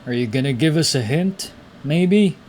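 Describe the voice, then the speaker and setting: A man's voice: on the high side, expressive, normal speed, a little mellow. A man giving informal, slangy playthrough commentary and reading game text aloud. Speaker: gaming content creator. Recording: faint background noise from game.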